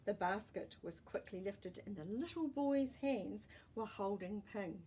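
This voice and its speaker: A woman reads a children's storybook at a slow, deliberate pace. Her narration is expressive, with dramatic pauses and emphasis, at a medium pitch. Some background noise sits faintly behind her voice. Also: rough recording. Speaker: storyteller reading a children's book. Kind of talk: expressive storybook narration with asides to young listeners.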